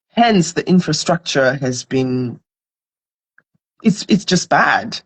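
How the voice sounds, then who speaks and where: A woman's voice: low voice, expressive, takes it slowly, breathy edge. A woman from Zimbabwe, a guest in a conversational interview, explaining earnestly with everyday examples.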